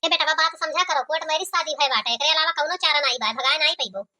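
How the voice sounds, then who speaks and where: A woman's voice: high-pitched, fast talker, bright, forceful tone. A woman voicing a pragmatic old village grandmother with an Awadhi accent in a cartoon comedy. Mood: resigned.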